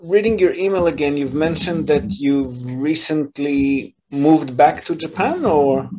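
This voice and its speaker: A man's voice: fairly high pitch, crowd noise, low-quality recording. A man hosting and advising on property investment, talking through loans in an explanatory way with frequent ums and restarts. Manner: explanatory, fills pauses with um and uh, restarts phrases. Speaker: real estate advisor and podcast host. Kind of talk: business call recording, casual advisory.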